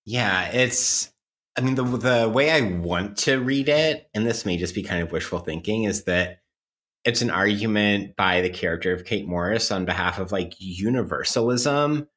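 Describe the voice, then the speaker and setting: A man co-hosting a podcast in a reflective conversational style, thoughtful and halting, circling his points with many qualifiers. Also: on the low side, a bit bright. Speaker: podcast co-host.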